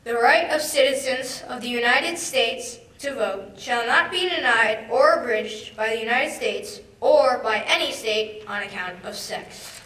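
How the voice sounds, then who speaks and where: A woman's voice: on the high side, a little slow, hardly any pauses, fairly bright tone. A young woman, a student, formally declaiming a document. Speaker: student reader. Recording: echoey hall, distant mic.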